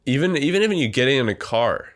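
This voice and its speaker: A man's voice: mid-range voice, very animated, fairly quick. A young man hosting a comedy podcast, rambling casually and self-deprecatingly, his voice congested and nasal. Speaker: podcast host. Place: small warm room with a computer.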